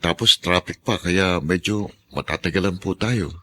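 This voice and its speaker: An actor playing a worried, polite male taxi driver with a husky voice in a radio drama. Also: deep voice, moderate pace, sharp tone.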